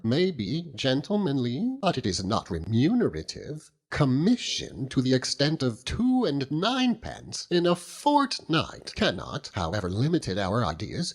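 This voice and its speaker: A man's voice: mid-range voice, breathy edge, pitched above the performer's own voice, exaggerated voice. A man voicing an earnest, self-important genteel wife and mother in an audiobook, lecturing in a pompous, declamatory way. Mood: lecturing, emphatic. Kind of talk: audiobook character voice.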